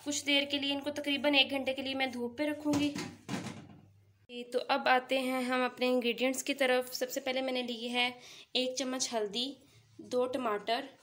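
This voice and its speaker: A woman's voice: high voice, little pitch movement, a little slow, a bit bright, a little hiss or hum. A woman hosting a home cooking channel, explaining each recipe step and speaking directly to her viewers. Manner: instructive, addresses viewers directly. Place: home kitchen at the stove.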